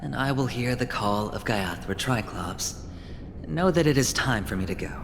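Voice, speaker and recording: An actor playing a wistful man saying a gentle farewell to a child in a video game, speaking in a measured, cinematic way. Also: medium pitch, animated, vocal fry, noisy background. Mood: wistful, resolute. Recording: long reverberant hall, music behind. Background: music.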